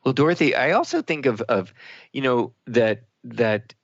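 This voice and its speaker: A man co-hosting a spiritual talk radio show in a reflective, halting, conversational way, with slightly dull sound. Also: mid-range voice, lively intonation, no room sound. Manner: reflective, halting, searching for words.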